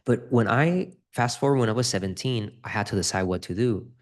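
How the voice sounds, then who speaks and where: Guest: low-pitched man, earnest, stumbles over sentence starts. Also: fairly quick. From Dominican Republic. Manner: earnest, repeats words when starting sentences. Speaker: guest, real estate investor. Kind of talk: casual interview conversation.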